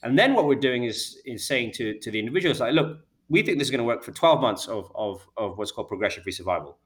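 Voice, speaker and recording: Fast-talking British man, many restarts. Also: mid-range voice, animated. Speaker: healthcare data company founder. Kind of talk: interview answers.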